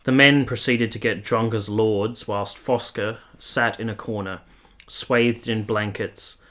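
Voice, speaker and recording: Conflicted 1870s military man, radio drama narrator. Lower register, measured literary delivery, formal and introspective. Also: fairly bright tone. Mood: somber, reflective. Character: brooding, conflicted 1870s soldier narrating his obsession. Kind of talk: literary first-person radio play narration.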